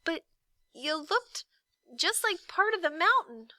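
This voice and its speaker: Woman doing a high-pitched, meek little boy. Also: sing-song, takes it slowly, sharp tone, no echo, exaggerated voice. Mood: meek, apologetic. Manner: meek, earnest, pleading. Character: small boy, polite, meek but quick-thinking. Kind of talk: children's storybook read-aloud.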